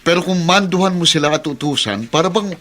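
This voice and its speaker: A man's voice: fairly high pitch, animated, quick pace, a bit bright. An actor playing a principled, earnest, reproachful man with a Filipino accent in a radio drama. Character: principled, indignant man defending mistreated children.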